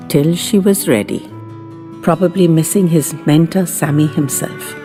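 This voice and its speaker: Reverberant recording, female storyteller, lively intonation, dramatic tender audiobook delivery. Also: fairly low pitch. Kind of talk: expressive scripted audiobook narration. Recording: long reverb with background music. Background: music.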